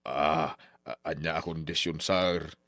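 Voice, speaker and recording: A man's voice: a bass voice, steady tone, measured pace, creaky, a bit bright, dry recording, studio quality, full character voice. An actor with a Filipino accent playing a humble older father in a radio drama, speaking in a subdued, hesitant way. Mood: humble, pleading. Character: humble older father, ambitious yet deferential. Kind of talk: melodramatic radio drama acting.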